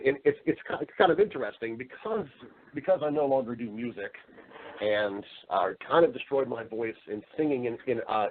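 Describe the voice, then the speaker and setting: A man's voice: lowish voice, husky. An American man telling his story casually as an interview guest, chatty and rambling, often repeating words. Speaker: interview guest, voice actor and entertainer. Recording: muffled, narrow phone-like sound.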